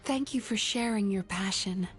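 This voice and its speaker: A woman voicing a game heroine, speaking in an earnest, calm and steady way. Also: mid-range voice, moderate pace, faint music behind, lightly acted. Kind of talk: game voice acting.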